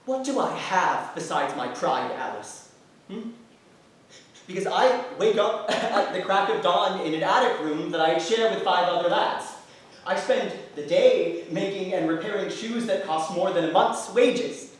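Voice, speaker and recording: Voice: medium pitch, expressive, a little slow, natural voice. An actor playing a proud, weary young man, a jailed shoemaker's apprentice, earnest and stubborn in a staged play reading. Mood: bitter, wistful. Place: theatre stage, distant microphone. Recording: very echoey theatre, far-off mic, faint noise.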